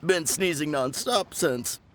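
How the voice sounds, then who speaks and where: A man voicing an earnest, dutiful guard in a video game, halting and coughing, under the weather. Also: on the high side, heavy background noise. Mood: sickly, apologetic. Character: earnest, dutiful male guard soldier, sniffly but resolute. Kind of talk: video game character acting.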